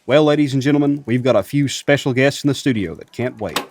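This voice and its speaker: A man playing a courteous, measured late-night soul radio DJ in a radio drama. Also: mid-range voice, a little mellow, no room sound, close to an everyday voice. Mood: on-air showman.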